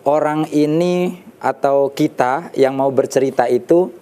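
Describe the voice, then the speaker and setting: Dark-toned man explaining patiently. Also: mid-range voice, clean tone. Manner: measured, explanatory, with frequent restarts and lists. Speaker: storyteller guest. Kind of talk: studio talk show explanation. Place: television studio.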